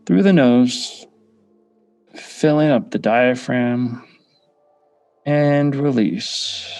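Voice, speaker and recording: A man guiding a meditation, speaking in short measured phrases with pauses between them. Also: medium pitch, creaky, a little mellow, no room sound. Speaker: meditation guide. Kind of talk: guided meditation. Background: background music.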